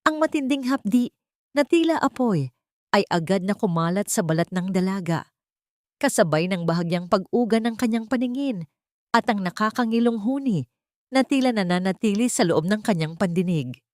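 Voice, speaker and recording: A woman's voice: medium pitch, expressive, normal speed, no echo, studio quality. A woman reading scripted dramatic story narration in a solemn manner.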